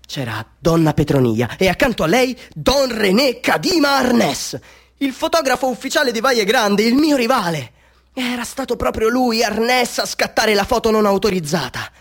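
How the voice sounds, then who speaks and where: Husky, fervent radio-drama storyteller. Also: male voice, very high-pitched, big pitch swings, normal speed, full character voice. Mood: excited. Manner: theatrical, swings between hushed confiding and fervent outbursts. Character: excitable amateur photographer, a fervent, self-doubting male storyteller.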